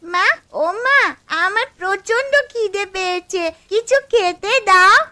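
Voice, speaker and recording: A woman voicing an eager little girl in a cartoon, calling out in a high, pleading voice. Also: slow pace, pushed and bright, no echo, heavily acted. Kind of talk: cartoon voice acting.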